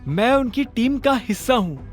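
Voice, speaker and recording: A man voicing an excitable, authoritative bank manager in an audio drama, speaking in an emphatic, animated way. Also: extremely high pitch, moderate pace, soft background music, voice pushed up. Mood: earnest, insistent. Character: excitable, authoritative male bank manager, secret gang member.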